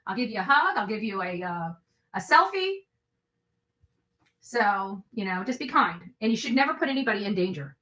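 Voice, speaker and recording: A woman's voice: medium pitch, big pitch swings, moderate pace, pushed and bright, roomy sound, poor audio. A woman hosting her own show, talking casually to viewers in a blunt, emphatic way and repeating phrases for emphasis. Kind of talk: casual storytelling commentary to viewers.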